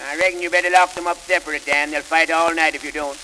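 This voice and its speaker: An actor playing an impatient small-town judge who runs his court briskly. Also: on the high side, fairly even intonation, sharp tone. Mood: stern. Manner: brisk, no-nonsense. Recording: hissy, echoey old record.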